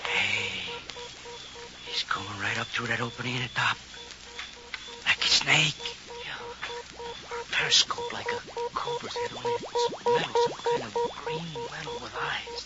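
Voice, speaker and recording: Excitable high-pitched deputy. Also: male voice, a little slow, long pauses, over music, full character voice. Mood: alarmed, awestruck. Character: excitable male deputy on night watch, alarmed. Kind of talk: 1950s radio drama acting. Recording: very noisy muffled old radio record.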